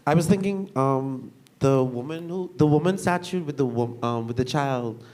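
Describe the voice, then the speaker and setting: A man asking a panel a question, halting and full of restarts. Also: medium pitch, darkish tone. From Bahamas. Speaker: audience member asking questions. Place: university lecture hall.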